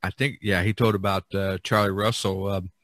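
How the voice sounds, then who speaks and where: A man hosting a podcast, telling stories casually and enthusiastically, with a halting delivery. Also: deep voice, little pitch movement, a bit bright, no echo. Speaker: podcast host. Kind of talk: casual conversational storytelling.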